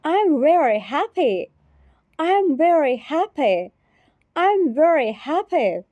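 A woman teacher repeating short phrases slowly and carefully in a repetition drill. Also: high-pitched, big pitch swings, mellow, no echo, highs cut off. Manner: repeats each phrase three times, carefully.

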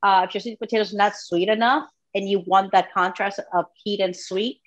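Woman, relaxed guest chef, home kitchen, device across the room, lo-fi video call audio. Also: medium pitch, a little fast. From Honduras. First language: Spanish. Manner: relaxed explaining while cooking. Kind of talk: live online cooking class.

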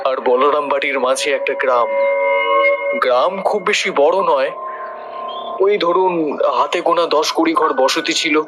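A man's voice: on the high side, unhurried, long pauses, natural voice, poor audio. A man playing an earnest, respectful villager who recounts a dreadful mystery in an audio horror story. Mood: grave, explaining. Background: background music.

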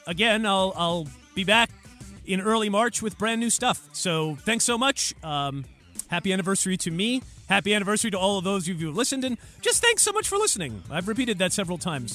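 Bright high-voiced man, rambling podcast host. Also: very animated, a little fast, soft background music. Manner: self-deprecating, wry, rambling. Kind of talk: casual solo podcast monologue.

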